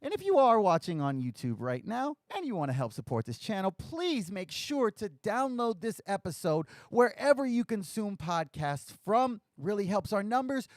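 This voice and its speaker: A young man hosts his podcast in a loose, rambling way, cracking small self-deprecating jokes. His voice is a bit scratchy from a short night, sitting fairly high and moving around a lot in pitch. The sound is close and dry, with no room around it. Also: very animated, normal speed, hardly any pauses, dry recording. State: slightly scratchy voice from little sleep. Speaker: podcast host. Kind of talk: casual podcast talk, live stream.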